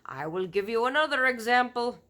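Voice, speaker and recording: A woman's voice: on the high side, animated, pitched above the performer's own voice. A woman voicing a huge, boisterous giant in a children's storybook, declaiming emphatically with mangled folksy grammar. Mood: boastful, explaining. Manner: loud, emphatic, drawn-out declaiming. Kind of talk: children's storybook read-aloud.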